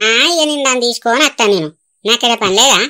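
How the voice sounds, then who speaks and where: A woman's voice: a little high, expressive, racing, sharp tone. A woman voicing a stubborn, grumbling old grandmother in a cartoon, with a Telangana Telugu accent. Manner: grumbling, complaining.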